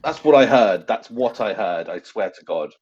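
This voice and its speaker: A man playing a tabletop roleplay game, casual and animated, sweary, slipping in and out of character. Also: fairly low pitch, normal speed, slightly rough, muffled recording.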